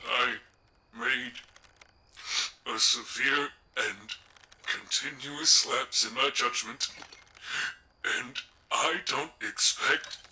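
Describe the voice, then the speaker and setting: A man's voice: medium pitch, very slow, gravelly, long pauses, bright, forceful tone, far from the mic, exaggerated voice, poor audio. A man playing an earnest, theatrical animatronic bear in an audio roleplay, grandiose, formal and wordy. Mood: apologetic.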